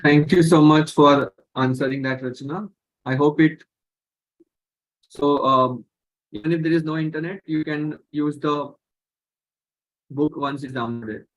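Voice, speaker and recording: A man's voice: on the high side, steady tone, unhurried, long pauses, low-quality recording. Indian man moderating an online webinar.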